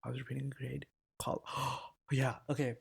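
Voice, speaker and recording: A man's voice: mid-range voice, flat delivery, normal speed, creaky, slightly rough. A teenage boy chatting casually in a rambling, self-interrupting vlog, swearing now and then, into a desk mic. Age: teens. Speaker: vlogger. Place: indoors at a laptop.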